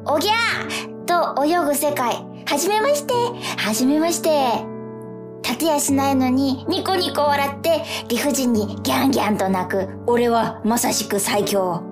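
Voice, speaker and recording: A woman acting a dreamy, unsure young boy in a radio drama, musing and repeating phrases haltingly. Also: sits high, lightly acted. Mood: wondering. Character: dreamy young boy Hana, unsure and wondering about life. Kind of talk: theatrical radio drama monologue. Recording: music under the voice.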